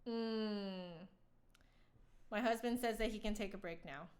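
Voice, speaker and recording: A young adult woman talks casually to her live-stream viewers from home. She rambles along with plenty of fillers and restarts, and her voice sits at a middle pitch. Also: measured pace, darkish tone. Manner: chatty, rambling with many fillers. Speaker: flutist streamer.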